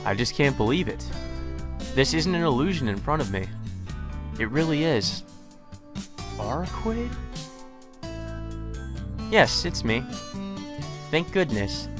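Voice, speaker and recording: A man's voice: mid-range voice, sing-song, slow, long pauses. Young man reading a visual novel. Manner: earnest, self-correcting reading. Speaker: let's play narrator. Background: guitar music.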